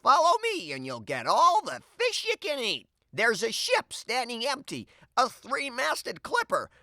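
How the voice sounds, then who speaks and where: A man's voice: very high voice, wildly swooping pitch, a little slow, pushed and bright, no room sound, studio quality, pitched above the performer's own voice, heavily acted. A man voicing a bold, eager pirate cat captain rallying his crew in a children's storybook. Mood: conspiratorial. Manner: eager, commanding.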